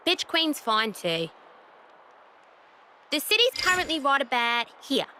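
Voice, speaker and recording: A woman's voice: sits high, very animated, a little slow, sharp tone, exaggerated voice. A woman playing a brash, foul-mouthed, loyal young female fighter in a video game cutscene, with a cocky, blunt, slangy manner. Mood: cocky, teasing.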